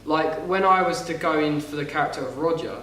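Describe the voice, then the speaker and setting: Young man, light voice a touch high, rambling podcast talk; boomy room, mic set back, faint hiss. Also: sharp tone. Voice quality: light, higher voice than most men. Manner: rambling, halting, with frequent restarts. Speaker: podcast co-host, actor. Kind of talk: casual chat. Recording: echoey room, mic a bit far off.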